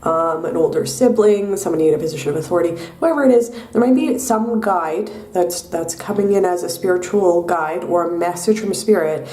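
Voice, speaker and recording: A young woman giving a tarot reading in a soft, unhurried way with many pauses and ums, her voice nasal from a cold. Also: lowish voice, far from the mic. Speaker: tarot reader. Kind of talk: pick-a-card tarot reading. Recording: echoey room, mic set back.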